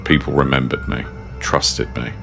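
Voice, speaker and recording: A British man reading scripted first-person horror narration in a measured, understated and ominous manner. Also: rumbling low, flat delivery, creaky, music in the background. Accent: British English.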